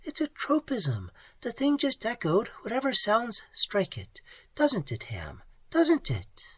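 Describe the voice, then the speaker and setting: A man voicing a quick-minded young woman explorer who talks in tense, high, breathy whispers. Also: sing-song, fairly bright tone, dry recording, exaggerated voice. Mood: frightened.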